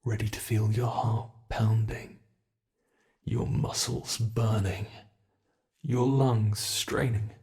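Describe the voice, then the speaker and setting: Man voicing a slow, gravelly, predatory monster. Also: on the low side, fairly even intonation, a little mellow. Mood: eager, predatory. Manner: slow, menacing, playfully sinister, savoring pauses. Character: teasing predatory male monster who hunts humans. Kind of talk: intimate ASMR roleplay monologue.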